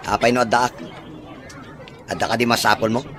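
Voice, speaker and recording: A man's voice: mid-range voice, level tone, brisk, a bit bright, a faint crowd, slight background noise, lightly acted. A man acting a blunt, argumentative, suspicious man who evades fatherhood in a radio drama. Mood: defiant.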